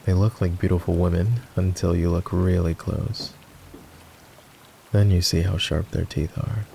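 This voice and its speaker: Man playing a deep, gravelly, sardonic knight. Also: steady tone, a little slow, mellow, slight background noise, heavily acted. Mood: wary, instructing. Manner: sardonic, teasing, commanding. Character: gruff, sardonic fantasy knight, grudgingly escorting a princess. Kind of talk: roleplay ASMR voice acting.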